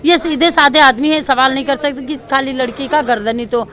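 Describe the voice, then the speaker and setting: High-voiced woman speaking out. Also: fairly even intonation, a little fast, a bit bright. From Delhi, India. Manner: emphatic, repetitive. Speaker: local protester interviewed. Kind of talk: impassioned street interview. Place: outdoors at a protest. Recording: outdoor street with crowd noise.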